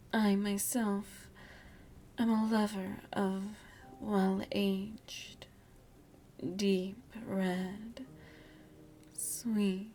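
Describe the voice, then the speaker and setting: A woman playing an elegant, seductive, motherly vampire lady of the manor in a softly half-whispered ASMR roleplay, genteel and coaxing. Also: mid-range voice, slight background noise. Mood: teasing, seductive. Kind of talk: ASMR roleplay, soft breathy speech.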